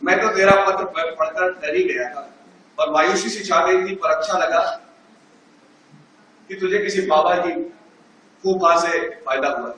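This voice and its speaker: A man's voice: high voice, moderate pace, pauses often, bright, forceful tone, very echoey, a little hiss or hum. An older man on an amateur stage reading letters to an old friend aloud, jovial and teasing, heard from far off in a large auditorium. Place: large auditorium, no sound system.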